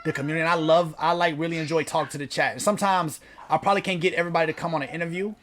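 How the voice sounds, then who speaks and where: Loose-talking young man, a bit high. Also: steady tone, very fast, a bit bright, a little hiss or hum. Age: 20s. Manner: loose, profane, rambling and self-joking. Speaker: host. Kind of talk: casual interview chat.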